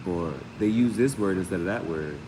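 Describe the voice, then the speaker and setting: A man's voice: low-pitched, moderate pace. A man giving a casual, off-the-cuff live tarot reading as host, often repeating phrases and peppering his talk with slang and sound words. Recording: roomy long reverb, clear steady background noise.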